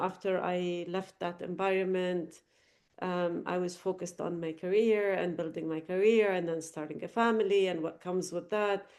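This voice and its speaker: A woman's voice: fairly low pitch, a little mellow. A middle-aged woman from Lebanon, a podcast guest giving thoughtful, earnest answers with frequent fillers and restarts. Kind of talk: reflective interview answers.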